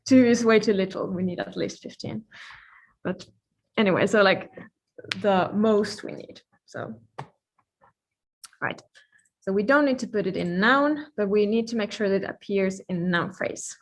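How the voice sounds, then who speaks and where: A woman who is a native Finnish speaker gives an informal live-coding lecture, thinking aloud with playful asides and pauses mid-thought. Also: medium pitch. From Finland.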